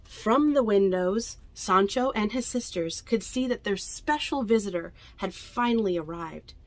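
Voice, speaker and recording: Expressive young woman reading with lively pitch. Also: unhurried, no room sound. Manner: expressive, addresses young listeners directly. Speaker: library storytime reader. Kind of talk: children's picture-book read-aloud with chatty asides. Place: indoors among library bookshelves.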